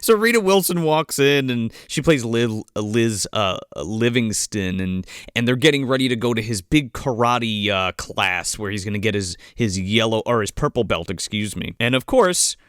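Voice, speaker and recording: A man's voice: fairly low pitch, animated, normal speed, few pauses, clear, resonant tone, no room sound, studio quality. A man co-hosting a casual movie podcast, laughing often and swearing freely. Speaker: podcast co-host.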